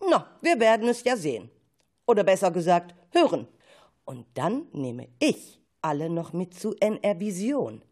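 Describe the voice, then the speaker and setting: A woman's voice: fairly low pitch, darkish tone. A woman hosting a radio show, speaking expressively and directly to young listeners. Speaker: radio host of a children's radio play show. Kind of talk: radio moderation addressed to listeners.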